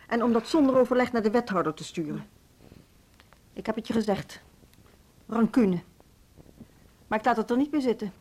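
A woman's voice: medium pitch, a little mellow, natural voice. Radio drama: female actor for indignant, resolute woman in charge, middle register, pointed and articulate, naturalistic delivery. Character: indignant, suspicious woman in authority, proud and resolute.

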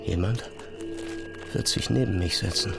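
An actor playing an obsessed, haunted physicist, thinking aloud in an intense, fragmented, brooding inner monologue for an audio drama. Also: male voice, low voice, measured pace, gravelly, music in the background, heavily acted. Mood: uneasy.

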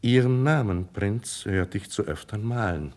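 An actor playing a grave, loyal older count, deliberate and deferential, in a formal 1950s radio drama style. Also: male voice, fairly low pitch, lively intonation, darkish tone. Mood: confiding, concerned. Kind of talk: classical German radio drama acting, 1950s.

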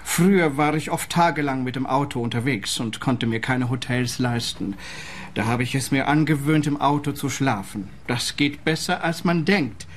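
An actor playing a smug, shady lawyer in a radio play, speaking in a pompous, self-satisfied way. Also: male voice, mid-range voice, normal speed, natural voice.

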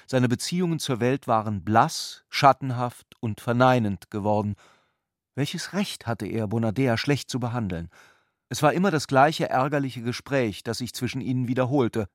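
A man's voice: medium pitch, no echo. Sober male narrator in a dry studio. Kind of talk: literary narration for a radio play.

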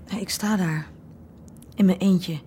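A woman's voice: on the low side, fairly even intonation, measured pace, warm tone, slight background noise, lightly acted. An actress playing a hesitant, curious young girlfriend in a radio play.

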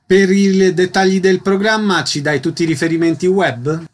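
A man's voice: high voice, fairly even intonation, moderate pace, slight background noise. A man hosting a radio show, presenting guests and programme details. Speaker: radio host.

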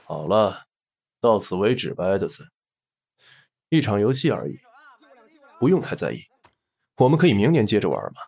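A man's voice: fairly low pitch, sing-song, normal speed, pauses often, mellow, slightly rough, full character voice. A man playing a suave, scheming boss, smug and teasing with a threat underneath, in a Chinese audio drama. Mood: amused, teasing. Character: suave, scheming male boss, indulgent and menacing.

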